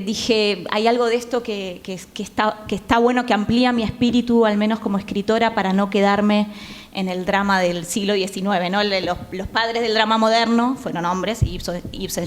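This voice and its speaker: A woman with a Rioplatense Argentine accent speaks at an average pitch. She is a panel guest thinking aloud, reflective and halting, with frequent pauses. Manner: halting, reflective, pausing often. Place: theatre, live conversation.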